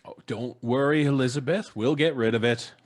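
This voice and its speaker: A man playing a brisk, sceptical, good-natured father in an old-time radio drama, with a blustery manner. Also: mid-range voice, close to an everyday voice. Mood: blustery, confident. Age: middle-aged. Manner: blustery, dismissive, chuckling. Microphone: studio mic at home, video call.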